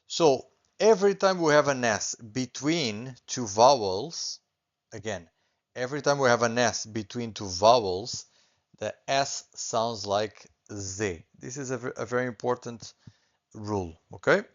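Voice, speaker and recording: Middle-aged Portuguese man with an accent, patient beginners' teacher, mid pitch, slightly dull lo-fi sound. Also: expressive, unhurried. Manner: patient, reassuring, repeats points, often adds okay. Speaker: language teacher. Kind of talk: online language lesson.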